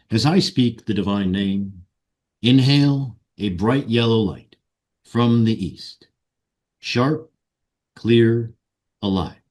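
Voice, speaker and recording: A man leading a guided meditation and ceremonial invocation in solemn, deliberate short phrases. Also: medium pitch, darkish tone. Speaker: meditation guide and ritual leader.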